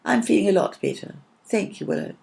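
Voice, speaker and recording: A woman playing an eager, curious young female listener in a storybook, with breathy questioning. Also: mid-range voice, animated, quick pace, dark tone, voice pushed up, full character voice. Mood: soft, wistful. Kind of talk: storybook character acting.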